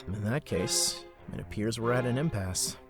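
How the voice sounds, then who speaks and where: A man's voice: fairly low pitch, normal speed, music in the background, natural voice. A man playing a wry, exasperated young merchant heir and older brother in an audio drama, given to mock formality.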